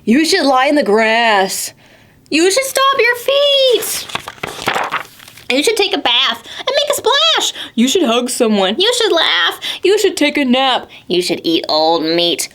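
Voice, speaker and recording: A woman's voice: high-pitched, huge pitch swings, takes it slowly, a bit bright, slight background noise, pitched above the performer's own voice, full character voice. A woman voicing cheerful, pushy animal friends from a children's storybook, urging in an exaggerated sing-song way. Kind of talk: children's storybook read-aloud.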